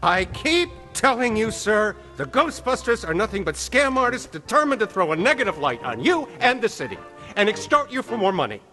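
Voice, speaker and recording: An actor playing a smug, vindictive government bureaucrat in a video game, pompous and scornful, speaking deliberately. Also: male voice, sits high, big pitch swings, sharp tone, big-room sound, faint music behind, heavily acted.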